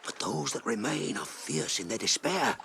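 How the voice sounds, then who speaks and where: A male actor playing a stern, battle-minded warrior with a gravelly voice and grave, emphatic delivery in a 1980s radio drama. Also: lowish voice, expressive, normal speed, bright, forceful tone, a little hiss or hum, full character voice.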